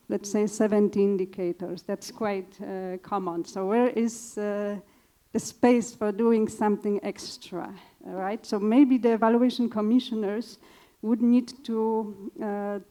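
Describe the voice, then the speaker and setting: A woman with Polish as her first language answers a panel question in a measured, thoughtful way, stopping often on small pauses. Her voice is soft and mellow rather than bright, sitting at a middle pitch. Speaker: panelist, evaluation consultant.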